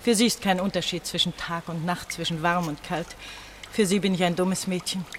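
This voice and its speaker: A woman's voice: fairly low pitch, fairly even intonation, brisk, a little hiss or hum, close to an everyday voice. Woman acting desperate, bitter young fugitive. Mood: exhausted, despairing. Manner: emotional, reproachful, dramatic. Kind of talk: German radio play acting. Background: running, dripping water.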